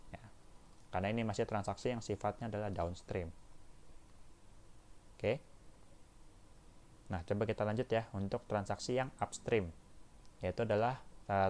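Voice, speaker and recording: A man's voice: lowish voice, darkish tone, a little hiss or hum. An Indonesian man giving an informal step-by-step tutorial as an accounting instructor, often addressing his listeners directly. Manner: addresses listeners as friends, frequent checking tags. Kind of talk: tutorial walkthrough, informal teaching.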